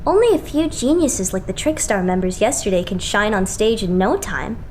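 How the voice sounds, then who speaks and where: A woman's voice: mid-range voice, animated, normal speed, a little mellow, slight background noise, natural voice. A woman playing a quirky, dramatic young idol who exclaims theatrically in an anime game fan dub. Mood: glum, sheepish. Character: dramatic, quirky young idol, self-declared ordinary citizen.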